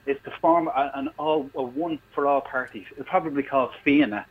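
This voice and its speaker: A man's voice: mid-range voice, normal speed, a loud crowd. An Irish man calling in to a radio show with a blunt, heated political rant, swearing as he goes. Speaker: caller. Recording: dull phone line with faint noise.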